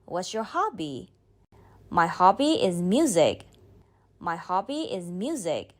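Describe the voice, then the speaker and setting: A woman reading a beginner's speaking drill, saying each line slowly and clearly, then repeating it. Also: fairly low pitch, very animated. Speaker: model speaker in a language drill. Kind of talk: slow scripted drill reading.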